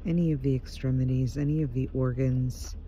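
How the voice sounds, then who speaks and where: A man leading a guided meditation in a gentle, hushed voice, leaving unhurried pauses between short phrases. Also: medium pitch, fairly even intonation. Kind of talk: guided meditation, slow soothing narration. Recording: muffled, dull home recording with faint noise.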